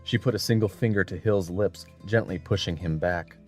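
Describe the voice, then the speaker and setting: A man with a very low voice narrates a dramatic audiobook. Faint music plays behind his scripted storytelling. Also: normal speed, a little mellow.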